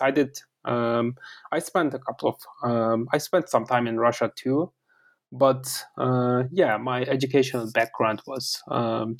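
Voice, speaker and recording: A man from Turkey with a Turkish accent, a guest author on an interview podcast, talking in a rambling way with many fillers and repeated words. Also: mid-range voice.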